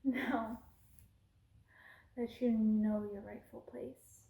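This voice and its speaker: A woman's voice: fairly high pitch, flat delivery, extremely slow, vocal fry, reverberant, narrow, tinny audio, slight background noise, full character voice. A woman playing a cruel, haughty evil queen in an ASMR roleplay, imperious and scornful, sometimes slipping into whispers. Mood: scornful, threatening.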